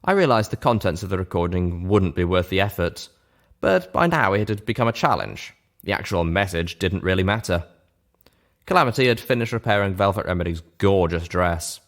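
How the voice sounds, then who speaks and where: A man's voice: low voice, expressive, fairly quick. A man reading a first-person story aloud as scripted narration. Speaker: narrator, reader of fan fiction.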